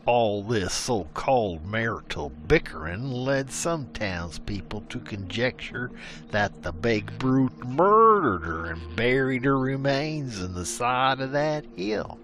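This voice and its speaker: A man's voice: medium pitch, lively intonation, slow, a bit bright, a little hiss or hum. A man narrating a frontier legend as a scripted story, with rustic, old-timey storyteller phrasing.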